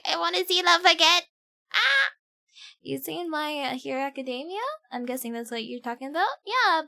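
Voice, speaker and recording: Playful, fairly high-voiced woman streamer. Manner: playful, expressive, exclamatory. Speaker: live streamer. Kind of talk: casual chat while streaming a game. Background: game music.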